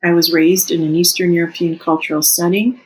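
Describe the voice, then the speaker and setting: A Canadian-born woman in her forties whose first language is Serbo-Croatian, reading a personal reflection in an earnest, measured way. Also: lowish voice, flat delivery, a little mellow, breathy edge, low-quality recording. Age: 40s. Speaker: teacher sharing a personal reflection.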